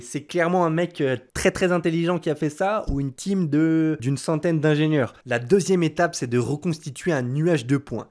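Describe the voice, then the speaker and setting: Young male tutorial host, slightly high voice, chatty and joking, casual voice-over. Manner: chatty, joking, addresses viewer as tu. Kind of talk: casual screen-recorded tutorial.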